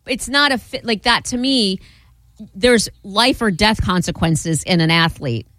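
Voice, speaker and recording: A woman's voice: medium pitch, a little slow, a bit bright, no room sound. A woman co-hosts a talk radio show and pushes her argument, stumbling through restarts and fillers. Her voice sits in a middle range, and the sound is dry and close. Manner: persistent, full of restarts and "like". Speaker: radio co-host.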